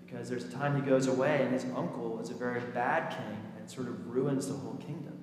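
A male pastor speaks to his congregation in an informal, joking manner at a medium pitch. His voice is a little raspy. It rings with heavy echo and long reverb through a church, under loud background noise. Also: fairly even intonation, fairly quick. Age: 30s or 40s. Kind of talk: sermon and children's message in church. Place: inside a church during a service. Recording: echoey church hall, noisy, long reverb.

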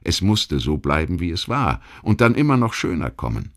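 A man narrating a history documentary audiobook, reading a literary text in a measured, articulate way with rhetorical emphasis. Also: sits low, sing-song. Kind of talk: scripted documentary history narration, read aloud.

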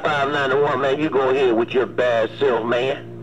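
Male CB radio operator, medium pitch, casual on-air chatter through a lo-fi transmission. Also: steady tone, normal speed, a little hiss or hum. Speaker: CB radio operator in New York. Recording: muffled CB radio, background chatter.

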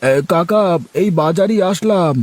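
A man's voice: sits high, unhurried, no room sound. A man voicing a blunt, fussy younger village customer in a cartoon, with a complaining delivery. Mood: complaining, dismissive. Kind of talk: cartoon voice acting.

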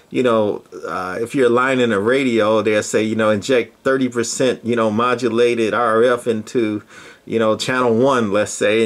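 A man presenting electronics equipment in an informal, unscripted way, explaining it in a halting, rambling manner. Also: mid-range voice.

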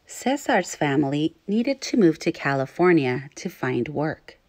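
A woman teacher reading aloud to young children, phrasing things clearly and putting questions to her listeners. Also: low-pitched, very animated, a little slow, a little mellow, no echo. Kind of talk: children's read-aloud narration.

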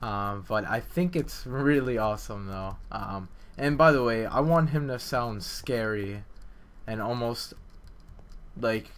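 A man hosting a game development video, talking in a casual, informal and halting way. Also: medium pitch. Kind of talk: casual gameplay commentary, development vlog.

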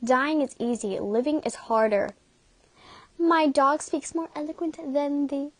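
Woman doing theatrical comic-dub character, high pitch, dry sound, brash, exaggerated, amateur parody. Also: fairly even intonation, measured pace, no room sound. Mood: playful. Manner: exaggerated, emphatic delivery.

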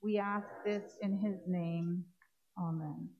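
A middle-aged woman priest leading a church service, reading set prayers in a measured, solemn way. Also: on the low side, fairly even intonation. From Canada. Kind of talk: liturgical reading. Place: church sanctuary with congregation. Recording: dull lo-fi livestream sound.

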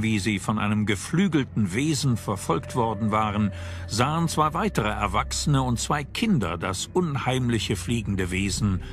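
A male documentary narrator with a medium-pitched voice. He reads scripted narration in a measured, dramatic storytelling manner, with music behind him.

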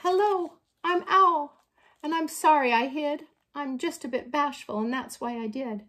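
A woman's voice: high-pitched, a little slow, fairly bright tone, slight background noise, pitched above the performer's own voice. A woman voicing a shy, apologetic owl in a children's storybook, in a bashful manner. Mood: bashful, friendly. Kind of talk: children's storybook read-aloud.

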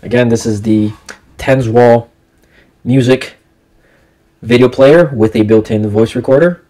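A man's voice: medium pitch, a little slow, dark tone. A man giving a casual product review in an unboxing video. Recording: clear background noise with clicks.

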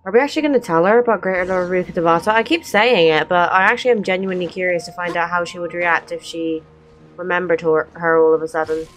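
A woman's voice: on the low side, fast, poor audio. A young woman giving casual, cheeky commentary on a game from a close mic in a room at home. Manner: cheeky, informal. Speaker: let's play streamer. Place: small room indoors at home. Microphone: close desk microphone, headset on. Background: game music.

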